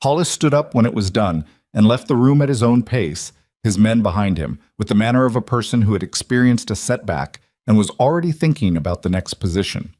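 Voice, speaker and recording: A man reading a dramatic western story as scripted narration, measured and deliberate in his delivery. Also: lowish voice, lively intonation, darkish tone, no room sound. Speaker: audiobook-style story narrator.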